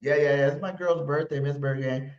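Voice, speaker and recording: A man from Los Angeles co-hosting a sports podcast, chatting casually in a slangy way with loud, hyped bursts. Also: on the high side, steady tone, brisk. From Los Angeles, California. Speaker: podcast co-host. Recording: roomy, dull sound.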